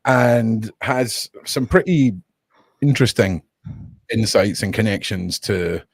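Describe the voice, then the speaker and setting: Man, host, gravelly voice, dry close sound, casual and loose. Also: fairly low pitch, animated, slow pace, dry recording. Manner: loose, repeats himself while steering the panel. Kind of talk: casual panel hosting.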